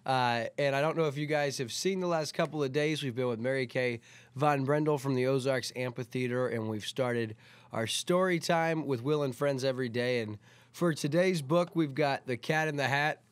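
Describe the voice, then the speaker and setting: A man's voice: mid-range voice, normal speed, smooth, no room sound. A man hosting a story time, talking casually and reading a children's picture book aloud.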